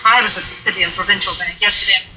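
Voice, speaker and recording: A woman's voice: mid-range voice, steady tone, racing, music in the background, natural voice, rough recording. An actress playing an insistent engaged woman in an old-style radio drama, heard through a vintage radio. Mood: intent, recounting.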